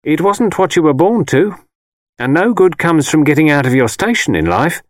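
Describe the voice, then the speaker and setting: Guy doing a grim old working-class mum from an audiobook, blunt and knowing, pitched up, dry sound. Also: big pitch swings, moderate pace, dry recording. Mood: disapproving. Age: older. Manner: blunt, disapproving, dialect turns of phrase. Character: tall grim grey-haired working-class mother, stern and knowing.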